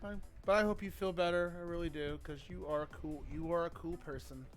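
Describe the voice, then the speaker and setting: High-voiced, playful rambling man. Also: steady tone, a little fast, faint music behind. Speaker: game streamer. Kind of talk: casual chat over game cutscenes.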